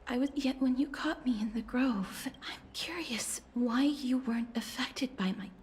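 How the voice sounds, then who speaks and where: An actress voicing a gentle, courteous young noblewoman in a video game, speaking formally and softly with solemn sorrow. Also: high-pitched, a little slow, slight background noise. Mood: gentle, hesitant.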